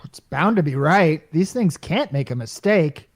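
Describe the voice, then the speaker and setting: Wisecracking male office worker for radio comedy, played by a woman: colloquial, urgent, very animated intonation. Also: low voice, unhurried. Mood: alarmed. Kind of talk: old-time radio comedy acting.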